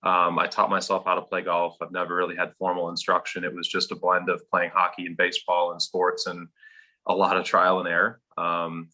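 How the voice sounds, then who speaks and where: Forceful, deep-voiced man with a faint Minnesotan accent. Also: monotone, fairly quick. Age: 30s. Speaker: golf professional guest. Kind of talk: casual interview.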